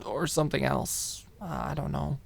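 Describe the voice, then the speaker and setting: Audiobook drama role: guarded, low-spirited young woman, halting, evasive, easily frustrated woman performer. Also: fairly even intonation, gravelly, full character voice. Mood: hesitant, evasive. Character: Alicia, guarded, depressed young woman hiding vulnerable feelings. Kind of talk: dramatic audiobook character acting.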